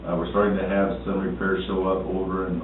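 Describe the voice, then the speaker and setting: A man's voice: low-pitched, monotone, brisk. A man, a town manager, explaining budget and sidewalk repairs at a town board meeting, in a plain-spoken way with many fillers and restarts. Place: council meeting room. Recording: echoey, distant, phone-like, noisy meeting recording.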